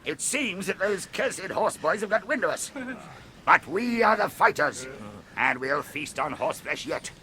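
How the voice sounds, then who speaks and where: Shrill, brutal orc captain. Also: male voice, lively intonation, measured pace, bright, forceful tone, slight background noise, full character voice. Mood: menacing command. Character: brutal bullying orc captain, barking orders. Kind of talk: radio drama acting. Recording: clamouring crowd behind.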